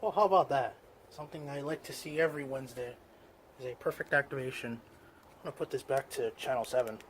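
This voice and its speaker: A man's voice: mid-range voice, a little fast, noisy background. A man giving casual, off-the-cuff commentary as the host of a hobby video.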